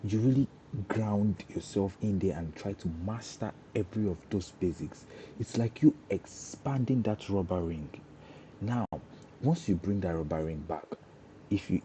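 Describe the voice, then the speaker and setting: A man's voice: low-pitched, dark tone, a little hiss or hum, rough recording. A young Nigerian man, a Yoruba speaker, giving an informal talk on breaking into cybersecurity, often restarting and repeating words as he explains. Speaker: cybersecurity trainer.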